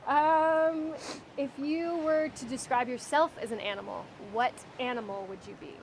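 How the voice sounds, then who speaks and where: Chatty woman with a raised voice and faint noise. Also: sits high. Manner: friendly, chatty, quick to agree. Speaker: interviewer and co-star. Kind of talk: casual interview chat.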